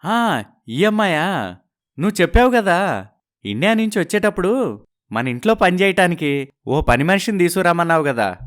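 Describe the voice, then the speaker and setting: An actor playing a smooth-talking, evasive young husband in a story, placating and persuasive, dropping in English words. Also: male voice, high voice, sing-song, moderate pace, pauses often, fairly bright tone.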